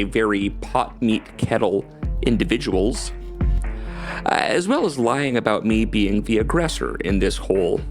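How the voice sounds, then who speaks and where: A man giving a semi-scripted personal account to viewers, measured and earnest. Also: fairly low pitch.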